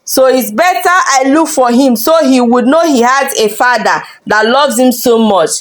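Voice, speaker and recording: Woman acting out an emphatic, hot-tempered husband, high-voiced. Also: normal speed, lightly acted. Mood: self-assured. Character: selfish, hot-tempered man, a husband and father.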